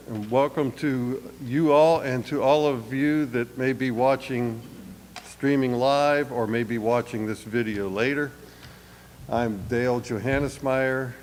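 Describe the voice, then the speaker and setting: A man serving as a church elder, raspy from a head cold, welcoming the congregation in a folksy, plain-spoken way. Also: medium pitch. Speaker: church elder leading worship. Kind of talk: welcome and call to worship. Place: church sanctuary. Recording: long hall reverb, faint noise.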